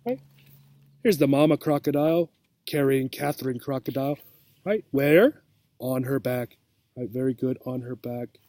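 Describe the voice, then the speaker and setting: A man reading a children's story aloud as a teacher, expressively, pausing to check the listener understands. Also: medium pitch, mellow.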